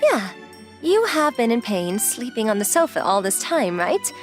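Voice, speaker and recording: Casting: a woman plays a girlish young manga-dub heroine, high-pitched and lively, relaxed, affectionate and playfully teasing. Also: normal speed, soft background music. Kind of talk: acted anime-style dialogue.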